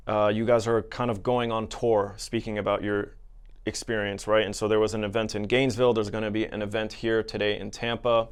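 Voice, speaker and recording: Male podcast host, low smooth voice, courteous interviewer. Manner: polite, checks facts with guests.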